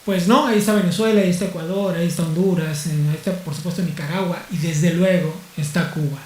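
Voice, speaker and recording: A man moderating a formal panel discussion, speaking in a measured, rhetorical way with pauses. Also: high-pitched, fairly even intonation, darkish tone, reverberant, rough recording.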